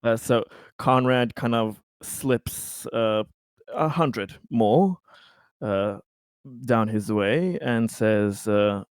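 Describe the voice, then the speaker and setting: A man's voice: lowish voice, very slow, clear, resonant tone, no room sound, clean studio sound. A man improvising as a player in a tabletop roleplaying game, hesitant and searching for his words. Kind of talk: improvised in-character roleplay and narration.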